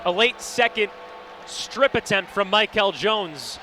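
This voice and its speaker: A man's voice: high-pitched, lively intonation, slow, music in the background. A young man giving explanatory color commentary during a live radio football broadcast. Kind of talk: live radio sports analysis. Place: sports broadcast position inside a domed stadium. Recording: faint stadium noise behind. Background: stadium ambience.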